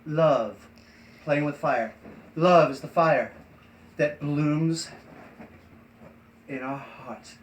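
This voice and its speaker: A man chatting off the cuff on a livestream, rambling and halting in an eccentric way. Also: a little high, slight background noise, rough recording. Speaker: live streamer and variety performer. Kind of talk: improvised livestream chat.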